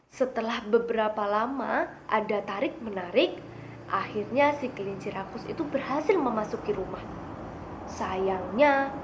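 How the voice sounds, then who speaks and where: High-voiced young woman storyteller. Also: a little hiss or hum, low-quality recording. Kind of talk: expressive children's storytelling.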